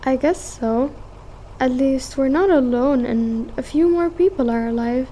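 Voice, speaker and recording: A woman's voice: high voice, unhurried, mellow, a little hiss or hum. A woman playing an anxious, candid young daughter fleeing war in a radio drama, earnest and plainspoken. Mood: grumbling, reflective.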